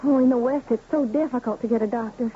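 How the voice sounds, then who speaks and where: An actress as an earnest, high-voiced ranch girl. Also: fast, natural voice. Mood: grateful, earnest. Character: earnest, dutiful young rancher's daughter, resigned in love. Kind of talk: 1970s radio drama acting. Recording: thin phone-like old radio, faint noise.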